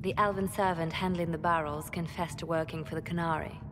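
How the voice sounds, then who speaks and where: An actress playing a spymaster who reports in a cool, measured, matter-of-fact way. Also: female voice, low-pitched, steady tone, natural voice. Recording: game audio, long reverb, noise.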